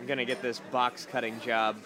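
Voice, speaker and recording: A man's voice: medium pitch, flat delivery, normal speed. A man in his late twenties from Massachusetts, a stand-up comedian, chatting casually over breakfast with dry, joking asides. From Massachusetts, USA. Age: 20s. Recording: noisy crowded diner. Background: crowd chatter.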